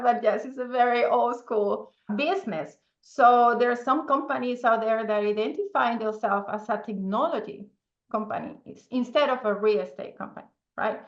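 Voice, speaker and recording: A woman with a strong Venezuelan Spanish accent, her voice set a little high. She talks in an easygoing interview manner, tagging her points with "okay?" and laughing easily. The audio is roomy and slightly dull. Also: normal speed. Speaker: podcast guest, entrepreneur. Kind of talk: casual interview talk.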